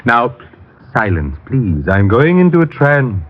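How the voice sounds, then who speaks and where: An actor playing a fussy, easily flustered fake spirit medium in formal, theatrical radio drama style. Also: male voice, medium pitch, sing-song, measured pace, a little hiss or hum. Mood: solemn. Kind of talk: 1940s radio drama acting. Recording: muffled old radio recording, faint music.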